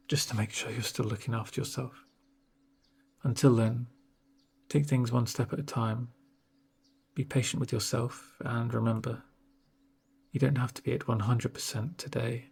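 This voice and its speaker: Male, soft-spoken ASMR doctor role. Gentle, reassuring delivery, husky with vocal fry. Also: mid-range voice. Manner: gentle, reassuring, unhurried with pauses. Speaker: ASMR performer playing a home-visit doctor.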